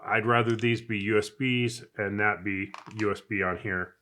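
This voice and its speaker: A man's voice: lowish voice, fairly even intonation, normal speed, pushed and bright, slight background noise. A man giving a casual, unscripted product review in a chatty, conversational way, as if thinking aloud. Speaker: product reviewer, channel host.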